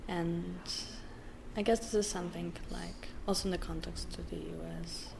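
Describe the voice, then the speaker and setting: Hesitant German woman with gravelly voice. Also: lowish voice, steady tone, background noise. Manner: hesitant, halting, many fillers and restarts. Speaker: interview guest. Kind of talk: personal interview.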